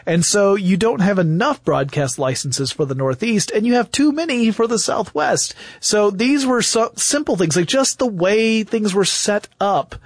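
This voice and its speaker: A man with a moderately high voice talks as a podcast co-host. He explains in a conversational way, thinking aloud as he goes. The sound is dry, with no room around it. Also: animated, moderate pace, hardly any pauses, no room sound.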